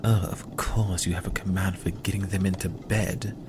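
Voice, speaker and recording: A man's voice: low voice, fairly even intonation, normal speed, background noise, close to an everyday voice. A man playing a possessive, dry-witted vampire in an ASMR roleplay, with a sardonic, commanding manner. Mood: possessive, defiant. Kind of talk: ASMR roleplay audio drama.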